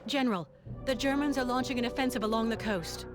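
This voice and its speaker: A woman's voice: on the high side, fast, lightly acted. A woman playing a bold, confident military liaison officer in a video game, assertive and direct. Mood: approving. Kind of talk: video game briefing dialogue. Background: game music.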